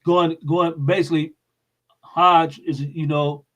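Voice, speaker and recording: A man's voice: a little high, little pitch movement, slow pace, a bit bright. An American man speaking as a guest in an interview, explaining things in a plain-spoken, informal way. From United States.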